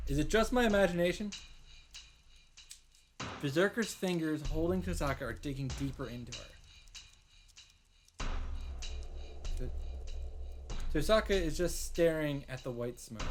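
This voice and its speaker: A man reading a visual novel aloud as a streamer, in an emphatic, dramatic storytelling style with drawn-out pauses. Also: fairly high pitch, slight background noise. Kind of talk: dramatic narrated read-aloud.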